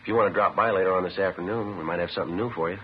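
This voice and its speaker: An actor playing a calm, businesslike police detective in a 1950s radio drama, deadpan and matter-of-fact. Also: male voice, low-pitched, racing, a little hiss or hum, natural voice. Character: calm, businesslike male police detective, narrating his case. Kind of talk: 1950s radio drama acting, clipped procedural delivery. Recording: muffled 1950s radio recording with music.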